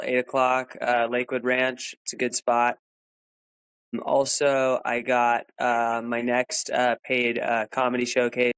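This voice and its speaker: A man's voice: mid-range voice, fairly bright tone, clean tone, no room sound, poor audio. A male stand-up comedian and podcast host, plugging his gigs in a laid-back way full of ums and uhs. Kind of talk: casual show plugs.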